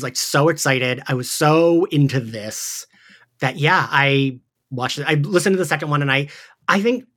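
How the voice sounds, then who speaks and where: A man co-hosting a podcast, talking in an excitable, chatty way about something he is a fan of. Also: fairly high pitch. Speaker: podcast co-host. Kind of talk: casual fan discussion.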